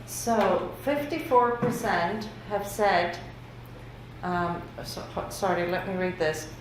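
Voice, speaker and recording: A woman whose first language is Greek, giving a workshop lecture on survey results in a casual, conversational way with many fillers. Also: mid-range voice. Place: university lecture room. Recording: very echoey room with faint noise.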